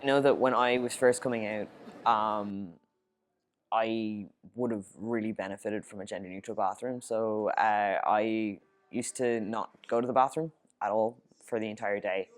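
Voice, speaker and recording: A young Irish man speaks earnestly in a documentary interview. His pitch stays level and even, and his talk is broken by frequent ums and uhs. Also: normal speed. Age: young adult. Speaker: student interviewee.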